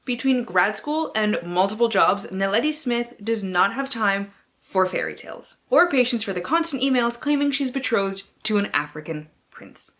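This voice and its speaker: A woman speaks in a medium-pitched voice, as if chatting to followers on her book channel. Her delivery is wry and theatrical, and she swears freely. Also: animated, moderate pace, a bit bright. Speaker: book vlogger, channel host. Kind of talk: casual chat to camera with playful dramatic book-blurb readings.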